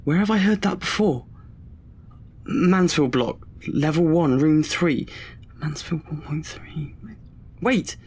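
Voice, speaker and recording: A man's voice: a little high, takes it slowly, long pauses, darkish tone, husky, heavily acted. A young man, a student in an audio drama. He is chatty but anxious and hesitant, and tends to put himself down. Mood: alarmed. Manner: hesitant, self-deprecating, nervous chuckling. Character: nervous, chatty twenty-year-old male student afraid of the dark.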